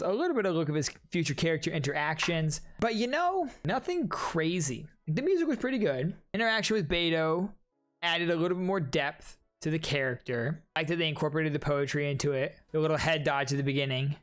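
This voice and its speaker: A man's voice: fairly high pitch, animated, brisk. A man giving informal, rambling reaction commentary on game trailers, musing as he goes.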